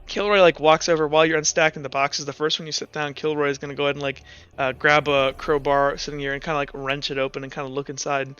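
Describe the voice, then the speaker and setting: A man's voice: a little high, little pitch movement, fast, bright, forceful tone, smooth, no echo. A man working as game master, improvising the narration of a tabletop roleplaying game in a casual way. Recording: slightly dull audio, music underneath. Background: background music.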